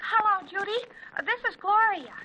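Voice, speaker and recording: A woman's voice: extremely high pitch, animated, moderate pace, highs cut off. A woman playing an eager, gossipy teenage girl in a 1940s radio sitcom, bubbly and insistent. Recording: noisy 1940s radio recording.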